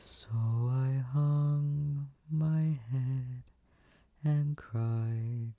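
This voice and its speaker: Soft hushed guy doing comfort asmr, super slow, close and dry, kinda muffled. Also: mid-range voice, flat delivery, no room sound. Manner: gentle, soothing, hushed. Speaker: comfort audio performer playing a boyfriend. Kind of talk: soft intimate ASMR roleplay. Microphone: close desk microphone.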